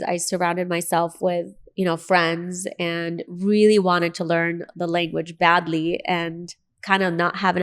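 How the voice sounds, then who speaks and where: Creaky-voiced woman with an American accent. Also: medium pitch. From Lebanon. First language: Arabic. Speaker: interview guest. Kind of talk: personal storytelling in an interview.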